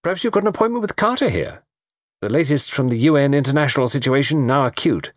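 A man voicing a flippant, lovesick young society gentleman with a theatrical, bantering, mock-gloomy way of talking. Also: medium pitch, lively intonation, fast talker, no room sound, clean studio sound, pitched above the performer's own voice, lightly acted.

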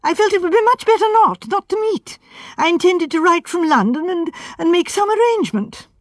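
A frantic young man stammers and pleads in a strained, high voice, as a woman reads him aloud. His words tumble out in a dry, close sound. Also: animated, moderate pace, dry recording. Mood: panicked. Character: nervous, distraught young male architect.

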